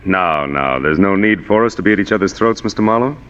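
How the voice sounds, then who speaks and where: A man's voice: sits low, lively intonation, brisk, fairly bright tone, clear, resonant tone. An actor playing a scheming, politely menacing male villain in formal 1940s radio drama style. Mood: smooth, menacing. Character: smooth, scheming male villain after stolen jade. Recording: muffled reverberant vintage radio recording.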